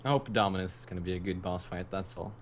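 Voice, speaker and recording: A man's voice: sits low, fast, a little hiss or hum. A man from Norway, a guest in a casual gaming chat. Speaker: podcast guest, gamer.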